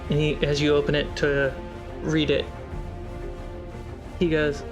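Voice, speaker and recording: A man narrating a tabletop game as game master, with a relaxed, descriptive manner. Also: fairly high pitch, a little slow. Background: music score.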